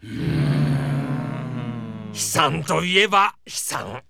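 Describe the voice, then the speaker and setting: A man playing an elderly, philosophical adviser in a comedic audio drama, with drawn-out pronouncements. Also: a little high, lively intonation, gravelly, sharp tone, soft background music, full character voice. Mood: musing.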